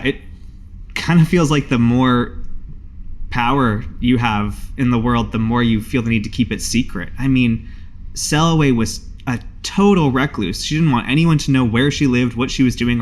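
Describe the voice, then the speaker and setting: A man's voice: mid-range voice, close to an everyday voice. Shy, hesitant young male wizard, acted by a man. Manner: hesitant, casual, hedging.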